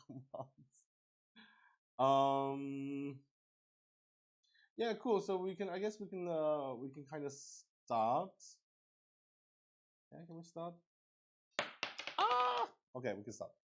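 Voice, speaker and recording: A man hosting a solo tabletop roleplay livestream, explaining casually in a halting, rambling way as he thinks aloud to his viewers. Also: on the high side, darkish tone.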